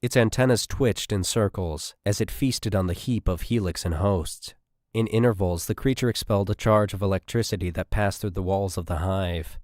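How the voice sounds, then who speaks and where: Low-voiced man narrating an audiobook. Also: normal speed, darkish tone, no room sound, studio quality. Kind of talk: scripted narration of military sci-fi.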